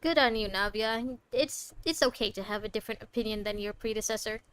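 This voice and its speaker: A woman's voice: a little high, brisk, fairly bright tone, clean tone. A woman doing casual game playthrough commentary, playfully teasing and talking back to the characters on a close microphone. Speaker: game streamer.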